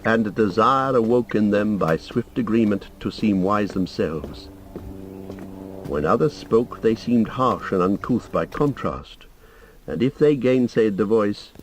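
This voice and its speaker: Low-pitched male audiobook narrator, noisy recording. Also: a little mellow. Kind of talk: measured literary audiobook narration.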